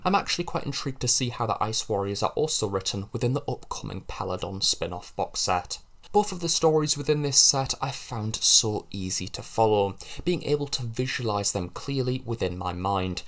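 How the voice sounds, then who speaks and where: A man giving review commentary on audio drama in an earnest, measured way. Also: mid-range voice, a bit bright.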